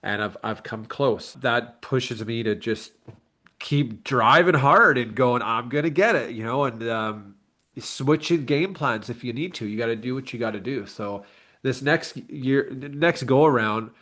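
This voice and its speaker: Man, late thirties, medium pitch, candid and earnest, informal interview guest. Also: measured pace, pushed and bright. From Canada. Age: late 30s. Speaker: bodybuilder and online coach, interview guest. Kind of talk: casual interview chat.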